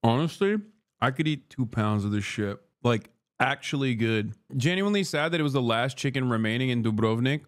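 A man in his thirties, from Turkey, riffs loosely and profanely with his live chat between bites. His voice sits at an ordinary middle pitch. He is close on a studio mic in a small wood-paneled room. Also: expressive, brisk. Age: 30s. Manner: brash, profane, joking, talks with food in mouth. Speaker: streamer. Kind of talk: casual livestream chat while eating. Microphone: studio mic on boom arm close to face.

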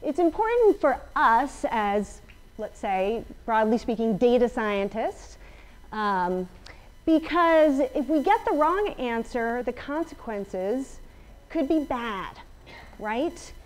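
An energetic woman with a fairly high voice gives a conference talk in a large open event space. She has no working mic, so a far camera picks her up from across the room, and she keeps asking the audience questions. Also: slow pace. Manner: energetic, lots of fillers, asks the audience questions. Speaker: guest speaker, statistician. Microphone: no working mic, room pickup from a far camera.

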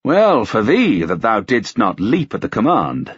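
A man's voice: on the low side, wildly swooping pitch, a little slow, husky, no room sound, lo-fi sound, voice pushed up, full character voice. A man voicing an imperious, suspicious medieval duke with archaic, commanding, sardonic speech in an audiobook. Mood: silken suspicion. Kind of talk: dramatised audiobook dialogue.

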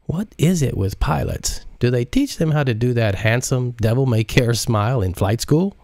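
A man's voice: medium pitch, expressive, normal speed, a little mellow, no room sound, studio quality, voice pushed up, lightly acted. A man voicing a tipsy, flirtatious woman teasing a pilot in an audiobook. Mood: amused. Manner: teasing, playful.

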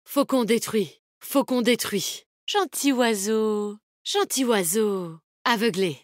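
A fairly high female voice for a tough, animal-loving game fighter. She is brash and confident, with brisk, slangy delivery. The sound is dry, with no room to it. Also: no echo. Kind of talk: video game character voice lines.

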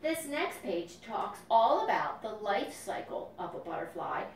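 A woman hosting a children's storytime, explaining nature to young listeners in simple, expressive language. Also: mid-range voice, a little slow, slight background noise. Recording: roomy echo, distant microphone.

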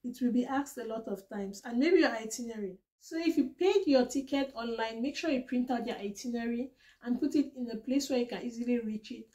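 A young woman from Cameroon talks to the camera in a casual vlog. Her voice sits a little high, and she sounds chatty and earnest as she retells her own trip, with small restarts along the way. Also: brisk, mellow. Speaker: vlogger sharing travel tips.

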